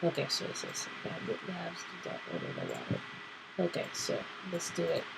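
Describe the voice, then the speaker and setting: A woman hosting a casual computer tutorial, nasal and clearing her throat because she is sick, thinking aloud with fillers. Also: lowish voice, wildly swooping pitch, moderate pace, background noise. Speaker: tutorial host. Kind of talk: casual screen-recorded tutorial.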